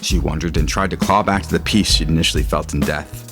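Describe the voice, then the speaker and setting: A man with a low voice reading scripted literary fiction as a narrator. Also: steady tone, fast. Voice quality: low-pitched. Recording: music under the voice. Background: guitar music.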